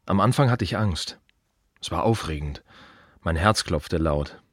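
An earnest gravedigger in a radio play monologue. His voice is low and moves expressively through short, measured phrases. He sounds proud and reflective, recorded close and dry. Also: lively intonation, a bit bright, no room sound, exaggerated voice. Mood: reflective, intense. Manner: measured, deliberate, short clipped phrases with pauses.

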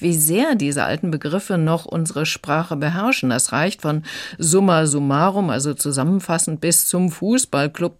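A woman giving a prepared review of audiobooks in a radio conversation. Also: deep voice.

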